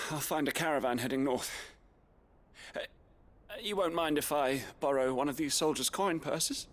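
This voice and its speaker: A man's voice: a little high, a little slow, a little hiss or hum, natural voice. An actor playing a wry, well-spoken, resourceful male traveller in a video game, articulate and dryly polite. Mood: resigned, wry. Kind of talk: video game cutscene acting.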